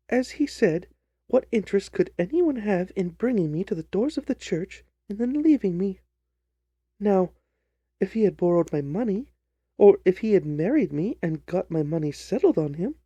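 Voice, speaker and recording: Man putting on a soft, mellow, earnest young woman. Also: high-pitched, breathy edge, no echo, full character voice. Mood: loyal, troubled. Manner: earnest, wordy, pleading. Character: earnest, trusting, distressed young jilted bride. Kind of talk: dramatised audiobook reading.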